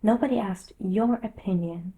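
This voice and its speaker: A woman's voice: medium pitch, normal speed, warm tone, lightly acted, poor audio. A woman voicing a polite, earnest, curious young girl who is easily offended, in a storybook reading. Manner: earnest, questioning.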